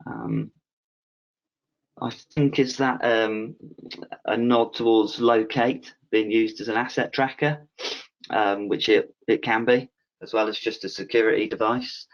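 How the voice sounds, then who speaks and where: Man, webinar host, lowish voice, relaxed, many fillers, reads questions aloud, dull video-call sound. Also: unhurried. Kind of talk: casual Q&A moderating.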